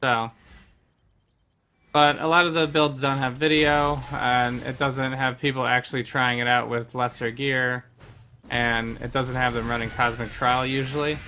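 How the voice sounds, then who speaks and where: Bright-voiced man streaming, fairly flat intonation. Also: medium pitch, a little slow, a little hiss or hum. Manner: explanatory, conversational. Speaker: game streamer. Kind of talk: casual gameplay commentary.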